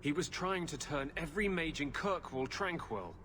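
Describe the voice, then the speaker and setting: An actor playing a shaken, brooding young male mage in a video game, with halting, troubled delivery. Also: on the high side, animated, a bit bright, slight background noise.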